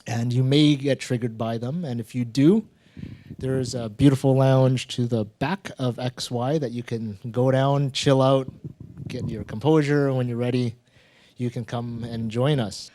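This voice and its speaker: A man's voice: mid-range voice, warm tone. A man hosting a live storytelling show, introducing it in a casual, conversational manner. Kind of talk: informal live event introduction.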